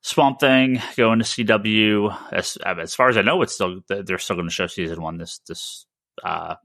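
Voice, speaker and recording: A man's voice: on the low side, moderate pace, creaky, fairly bright tone, dry recording, studio quality. A man hosting a casual, informal discussion of comics news. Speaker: podcast host.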